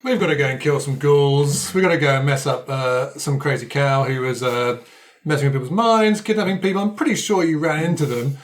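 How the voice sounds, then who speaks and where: A man playing a brash, matter-of-fact ghoul hunter with an axe in an improvised tabletop game, talking bluntly and casually in halting bursts. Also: mid-range voice, lightly acted. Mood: breezy resolve. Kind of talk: improvised tabletop role-play.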